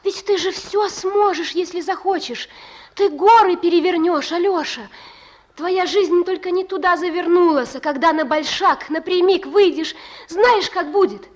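High-pitched, stubbornly loving young woman. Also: unhurried. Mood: tender persuading. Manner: pleading yet resolute, colloquial. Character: devoted, stubborn young woman in love with a thief. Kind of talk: Russian radio drama acting.

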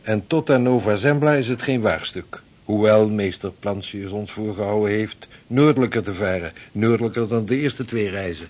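An actor playing a confident sixteenth-century navigator in a historical radio drama. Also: male voice, lowish voice, moderate pace, hardly any pauses, a little mellow, natural voice. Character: confident 16th-century navigator showing his sea charts.

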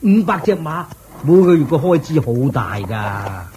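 A man's voice: a little high, sing-song, unhurried, dark tone, slight background noise. A man with a Hong Kong Cantonese accent playing a thrifty, sly royal chancellor in a radio drama, coaxing and explaining in a placating way. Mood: patient, justifying. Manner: chuckling, coaxing, explaining patiently.

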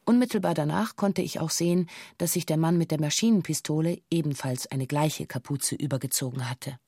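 A woman's voice: deep voice, brisk, dark tone, breathy edge, no echo, studio quality. Subdued, matter-of-fact female witness. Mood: sober, factual. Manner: factual, detached, precise official phrasing. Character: female bank employee giving a sober hostage witness statement. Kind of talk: scripted documentary radio drama, formal testimony.

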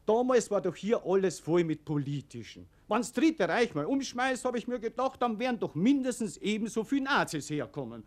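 Chatty austrian prison guard in a radio play, folksy, bit high, very singsong delivery. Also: male voice, big pitch swings, moderate pace, hardly any pauses. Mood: musing, confiding. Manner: folksy, musing, garrulous. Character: chatty, worldly male prison warder. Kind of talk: German radio play acting.

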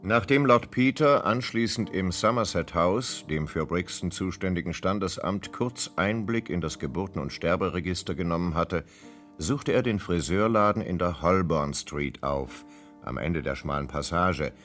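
An actor as the measured narrator of a detective radio play, reading scripted storytelling. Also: male voice, low voice, fairly bright tone. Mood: measured narration. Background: plucked guitar music.

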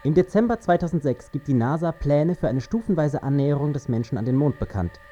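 A man's voice: a little high, animated, fairly quick, warm tone. An actor playing a male astronaut crewman in a radio play, reciting in a matter-of-fact way like an announcement. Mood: factual. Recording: dull sound with faint music.

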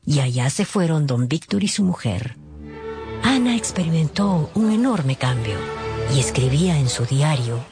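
A woman's voice: low voice, big pitch swings, moderate pace. A woman narrating a dramatised story expressively, with wry, gossipy asides. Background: background music.

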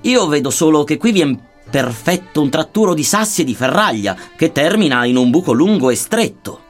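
A man with a slightly high voice recites old-fashioned narrative poetry. His delivery is solemn and declamatory, measured line by line, with music playing underneath. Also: big pitch swings. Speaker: reader of a satirical verse narrative. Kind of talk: dramatic poetry recitation in archaic Dantean verse.

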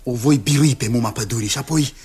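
An actor playing a brave young hero who speaks earnestly and resolutely, sometimes in a hushed voice, in a 1960s radio drama. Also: male voice, mid-range voice, slightly rough.

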